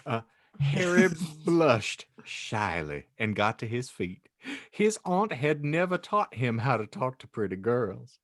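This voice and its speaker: A man's voice: medium pitch, sing-song, slow, no room sound, clean studio sound. A man hosting a podcast, narrating fan fiction in a playfully exaggerated, mock-pious way and laughing often.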